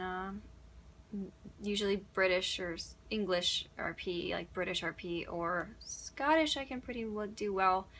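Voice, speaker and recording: A woman's voice: medium pitch, fairly bright tone, a little hiss or hum. A young woman from upstate New York, an audiobook narrator, chatting through Q&A answers with plenty of ums and little laughs. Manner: chatty, giggly, many ums. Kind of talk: casual Q&A answers.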